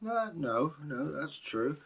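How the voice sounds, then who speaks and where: A man's voice: a little high, sing-song, slow, a bit bright, breathy edge. A British man co-hosting as a storyteller, telling folktales and chatting in a casual, musing way. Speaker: co-host and storyteller.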